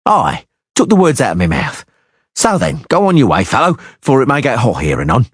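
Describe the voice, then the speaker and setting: Man voicing a crude mocking mercenary, raised pitch, husky, dry close mic. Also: wildly swooping pitch, fairly quick, no echo. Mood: sneering. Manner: mocking, foul-smiling. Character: sneering beady-eyed male mercenary, crude and mocking.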